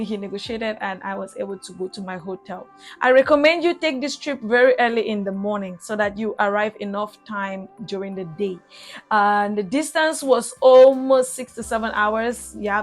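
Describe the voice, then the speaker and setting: A young woman with a Cameroonian accent, recapping her travels in a chatty vlog with fillers and restarts. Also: mid-range voice. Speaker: travel vlogger.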